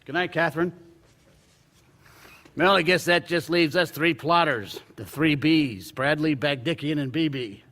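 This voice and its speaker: A man's voice: fairly high pitch, unhurried, fairly bright tone. A man playing a jovial newspaper editor. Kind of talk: radio play acting.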